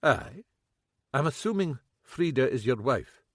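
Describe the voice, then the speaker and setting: A man voicing an older detective inspector who speaks calmly with understated, dry humour. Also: mid-range voice, measured pace, no room sound, voice pushed up. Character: older Scottish male detective inspector, calm, dry-witted.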